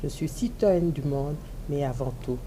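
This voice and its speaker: A woman's voice: sits low, unhurried, noisy background. A woman politician, making an emphatic rallying appeal in a TV interview and repeating her key phrases. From DR Congo. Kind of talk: televised interview statement.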